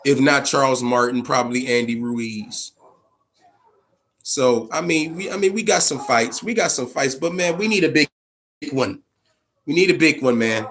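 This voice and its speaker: A man's voice: medium pitch, moderate pace, fairly bright tone. A man with an American accent hosting a casual boxing chat show, giving opinions in profane, slangy language and repeating phrases for emphasis.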